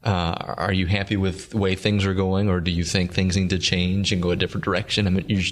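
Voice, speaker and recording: A man's voice: low-pitched, level tone, fast talker. A man hosting a casual interview, asking questions in an easygoing, hesitant way. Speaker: interview host.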